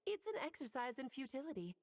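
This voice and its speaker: A woman's voice: high-pitched, very animated, fast talker, slight background noise. An actress playing an elegant, enigmatic woman mage in a video game, poised and knowingly teasing. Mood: cryptic.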